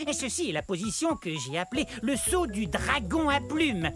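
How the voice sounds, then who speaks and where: A high, swooping cartoon voice for a small feathered dinosaur, pompous and precise. It lectures politely like the self-important brain of the class, with music behind it. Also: female voice, sing-song, moderate pace. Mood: smug. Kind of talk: children's educational cartoon monologue.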